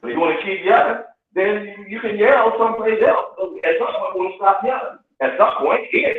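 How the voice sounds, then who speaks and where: Man, high voice, rambling and repetitive, heard over a thin echoey call from afar. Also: normal speed. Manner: rambling, repeats himself, swears. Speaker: podcast participant. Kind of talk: casual group discussion. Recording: distant, echoey, phone-like line.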